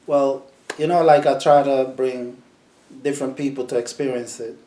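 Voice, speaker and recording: Relaxed New man, echoey room. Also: medium pitch, moderate pace. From New York. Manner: relaxed, storytelling, repeats words. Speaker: sound system selector. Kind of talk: casual interview answers.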